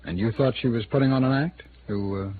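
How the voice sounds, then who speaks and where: An actor playing a wry, evasive small-town attorney in a 1950s radio drama, speaking conversationally and a little hesitantly with an American accent. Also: male voice, medium pitch, fairly quick, natural voice. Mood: hesitant, defensive. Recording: muffled, noisy old radio drama recording.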